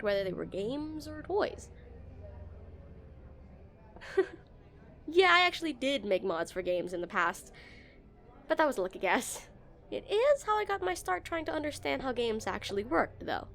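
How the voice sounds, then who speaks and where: A woman playing an awkward, shy female game developer on a dinner date in a romantic audio roleplay, flustered and hesitant. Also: sits high. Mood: shy, friendly. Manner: flustered, hesitant, stammering, giggly.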